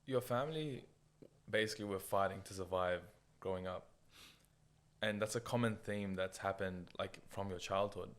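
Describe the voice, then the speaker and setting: A man's voice: low-pitched, moderate pace, a bit bright. A man hosting a podcast interview, asking short, curious follow-up questions in a casual way.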